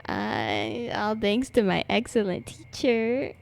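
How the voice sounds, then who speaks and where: A woman's voice: mid-range voice, sing-song, slow pace, vocal fry. A young woman livestreaming a game, commenting casually and playfully, exclaiming often and reading dialogue aloud. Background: game music.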